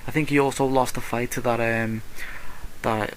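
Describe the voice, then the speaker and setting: A man's voice: medium pitch, fairly even intonation, moderate pace, slight background noise, poor audio. A British man giving casual solo boxing commentary in a blunt, slangy, opinionated way. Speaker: boxing vlogger.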